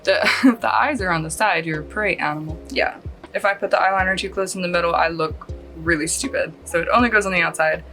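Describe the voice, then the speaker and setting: A woman's voice: fairly low pitch, a little fast, fairly bright tone, soft background music. A woman in her late thirties from Australia whose mostly Californian accent lets some Australian slip through. She chats her way through a casual makeup tutorial, rambling and self-deprecating, and laughs often. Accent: mostly Californian with some Australian coming through. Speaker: beauty vlogger. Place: at home, lit by a close lamp.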